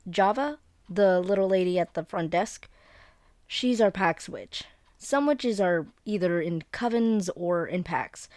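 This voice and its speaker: A woman plays a friendly young female werewolf, second in her pack, in an audio drama. Her voice is on the low side and unhurried, recorded dry and close to the room's silence. She explains things informally, rambling and getting a little flustered as she goes. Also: expressive, darkish tone, no echo. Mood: earnest, explaining. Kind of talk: scripted one-sided roleplay audio drama, conversational.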